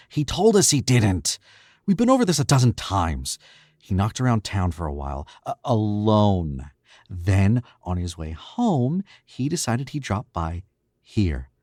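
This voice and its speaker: A man's voice: fairly low pitch, darkish tone, no echo, clean studio sound. A man acting in an old-time radio drama as a cool, condescending young murderer, self-assured and suave.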